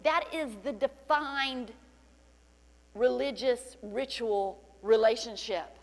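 A middle-aged woman pastor preaching a sermon with fervent praise and scripture reading, her voice slightly cracking from loudness. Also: on the high side, slow pace. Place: large church stage indoors. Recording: long hall reverb, faint hum.

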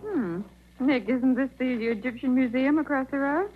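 An actress playing a perky, curious detective's assistant in a 1940s radio drama. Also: female voice, high-pitched, normal speed, close to an everyday voice. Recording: very noisy, tinny 1940s radio recording.

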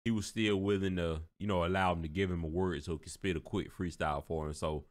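A man's voice: sits low, fast talker. A man hosting a reaction video, talking casually and rambling a little as he praises what he watched. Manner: relaxed, rambling, repeats himself, admiring. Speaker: reaction channel host.

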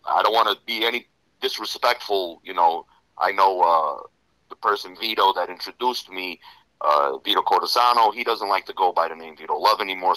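Bright-voiced New York Italian-American man. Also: sits low, no echo, poor audio. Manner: rambling, heavy with "you know" fillers. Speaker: interview guest. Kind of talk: casual storytelling interview.

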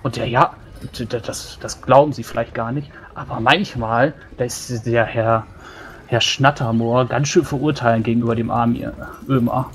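A man's voice: medium pitch, steady tone, normal speed, a little hiss or hum, close to an everyday voice. A man playing a chatty, good-natured dwarf adventurer in a tabletop roleplay, rambling and apologetic. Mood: earnest, good-natured. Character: chatty loyal male dwarf adventurer giving testimony. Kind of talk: improvised in-character tabletop roleplay.

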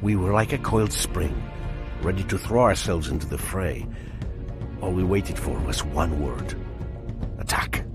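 A man playing a brooding Soviet war veteran, narrating a game gravely and deliberately over music. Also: low voice. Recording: music behind the voice.

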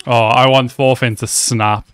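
A young man talks through an anime episode from a small room at home, wearing a headset. His voice sits at an ordinary pitch, and the sound is close and dry. He chats loosely, swears freely and keeps calling the characters bro. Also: flat delivery, unhurried, a bit bright, clean tone, dry recording. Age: young adult. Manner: chatty, swears a lot, calls characters bro. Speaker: anime reaction host. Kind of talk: casual reaction commentary. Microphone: headset mic.

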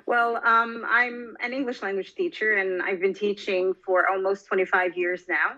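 A woman from Bosnia in her forties speaks in a bright, clear tone, pausing often with little fillers as she thinks. The sound is a bit dull and lo-fi, as if it came in over a video call. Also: mid-range voice, steady tone. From Bosnia and Herzegovina. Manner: thoughtful, many fillers. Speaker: guest, language teacher. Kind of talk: podcast interview.

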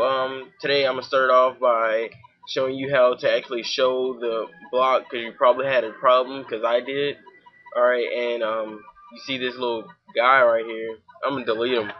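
A young man from Georgia with a Southern accent, talking casually through a software tutorial at his home computer, full of fillers. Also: medium pitch, muffled recording. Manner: informal, many fillers, talks while clicking. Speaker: tutorial host.

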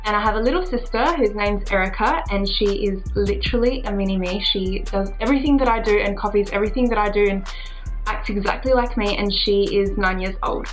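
A woman's voice: mid-range voice, a little fast, soft background music, low-quality recording. A woman in her early twenties with an Australian accent, a native Serbo-Croatian speaker, chatting casually to camera as a vlogger. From Adelaide, Australia. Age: early 20s. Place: small furnished room at home, indoors.

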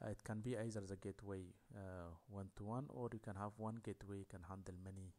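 A man giving a technical lecture over slides, talking in a halting way with many fillers. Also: on the low side, steady tone, a little mellow.

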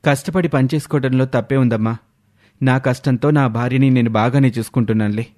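A man's voice: medium pitch, steady tone, fairly quick, a little mellow, close to an everyday voice. A man playing a proud, dutiful youngest son in a cartoon, speaking earnestly and firmly. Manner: earnest, firm.

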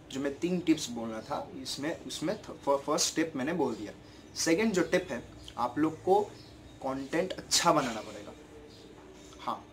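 A man's voice: on the high side, a little hiss or hum. A young man with a sore throat and a repetitive, earnest manner, giving tips to camera from his bedroom in a casual vlog. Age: young adult. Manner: earnest, repetitive, gestures a lot with his hands. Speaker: YouTuber giving channel-growth tips. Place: bedroom at home, indoors. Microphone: camera in front at desk distance.

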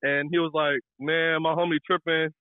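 A man's voice: fairly high pitch, level tone, moderate pace, clean tone, no room sound, phone-quality sound. A young American man, a caller casually telling a personal story in slangy, profane language.

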